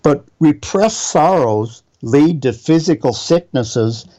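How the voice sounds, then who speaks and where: Man, preacher, sermon, reads verses aloud, mellow voice, muffled recording. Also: a little high, animated, slow pace. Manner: reads scripture aloud, spells out Hebrew words. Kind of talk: Bible teaching sermon.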